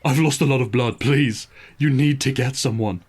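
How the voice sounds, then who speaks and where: A man playing a wounded, frightened villager in an audio roleplay, pleading urgently and haltingly in a weak, pained, strained voice. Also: mid-range voice, slight background noise, pitched above the performer's own voice, close to an everyday voice.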